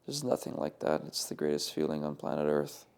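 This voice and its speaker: Gravelly young man, lively halting speech. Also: rumbling low. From Canada. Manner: halting, restarts and fillers, emphatic. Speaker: professional Ukrainian dancer. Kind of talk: interview answer.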